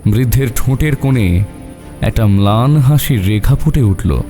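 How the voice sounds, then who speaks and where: Male horror storyteller, dark mellow tone, dramatic delivery, reverberant sound with faint music underneath. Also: mid-range voice, animated, measured pace. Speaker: narrator.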